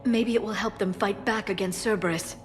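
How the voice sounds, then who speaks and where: An earnest, learned woman scientist from a sci-fi war game, played by an actress. Her voice sits at a middling pitch, with clearly audible music underneath. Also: fairly even intonation, brisk, natural voice. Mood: focused. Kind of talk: video game voice acting.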